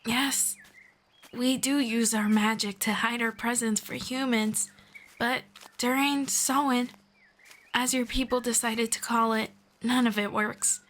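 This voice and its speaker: Woman playing a slow-speaking, friendly female elf. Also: a little high, fairly even intonation, a little hiss or hum. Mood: thoughtful, explanatory. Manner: formal, measured, gently explanatory. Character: friendly, knowledgeable female elf guiding a human. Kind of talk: scripted roleplay audio, one-sided conversation with listener.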